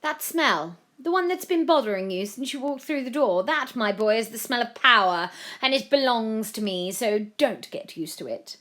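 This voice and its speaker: A haughty storybook villainess, played by a woman reader, scornful of children. She sneers with mock politeness, menacing in every phrase, her raised voice swinging widely in pitch. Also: lively intonation, moderate pace, few pauses, fairly bright tone. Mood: menacing gloating.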